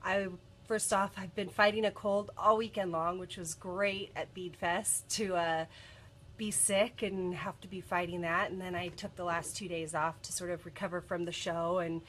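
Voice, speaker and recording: A woman's voice: on the low side, steady tone, normal speed, a bit bright. A woman in her thirties hosting a casual live stream from a cluttered office, a bit congested from a cold and chatty with lots of ums. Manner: chatty, many ums and restarts. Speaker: bead shop video host. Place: cluttered office workroom, indoors.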